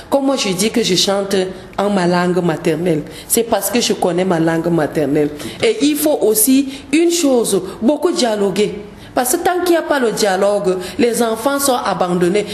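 A woman's voice: medium pitch, darkish tone, echoey room, slight background noise. A woman, a gospel singer speaking earnestly as a radio guest, often repeating words as she searches for them. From Cameroon. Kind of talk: radio interview.

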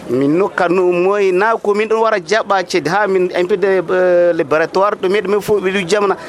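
A man with a notably high voice speaks haltingly, with frequent pauses, as a field reporter interviews him outdoors at a market. The sound is an outdoor reporter's recording. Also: fairly even intonation, slight background noise. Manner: hesitant, halting talk. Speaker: market trader.

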